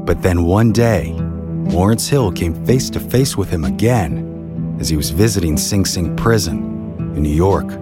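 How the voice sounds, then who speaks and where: A man's voice: deep voice, animated, measured pace, over loud music. A man reading scripted storytelling narration in the manner of a history documentary.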